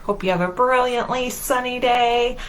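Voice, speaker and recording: A woman's voice: on the high side, moderate pace, a bit bright, a little hiss or hum, low-quality recording. A woman hosting a children's storytime, reading aloud in simple, singsong phrases and putting questions to young listeners. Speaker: children's library storytime host.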